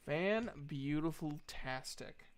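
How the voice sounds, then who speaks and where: A man improvising tabletop game narration as game master, halting often as he searches for words. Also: on the high side, lively intonation, slight background noise.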